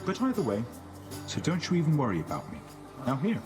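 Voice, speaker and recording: A man's voice: on the high side, wildly swooping pitch, fairly quick, over music. An actor playing a cheerful, easygoing condemned man in a video game, chatty and unbothered. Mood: cheerful, reassuring. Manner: good-humoured, chatty. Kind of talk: video game character acting.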